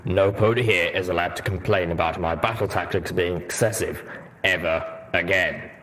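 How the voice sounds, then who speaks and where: A man voicing a gruff, stern armoured soldier pony, male, grumbling in measured speech for a story reading. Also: sits low, steady tone, a bit bright.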